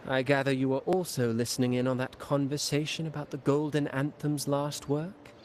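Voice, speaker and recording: An actor voicing a theatrical, scheming male playwright in a game cutscene, telling a dark tale with teasing, dramatic flair. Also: medium pitch, level tone, moderate pace, slight background noise, natural voice. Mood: sly. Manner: dramatic, teasing, mysterious storytelling. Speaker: game character.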